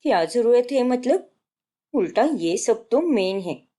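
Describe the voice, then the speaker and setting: Woman as showy young bride, animated pitch, unhurried, bragging, insistent, cartoon family story. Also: full character voice. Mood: indignant, boastful. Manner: bragging, insistent, peppers Hindi with English. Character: showy, boastful young newlywed daughter-in-law.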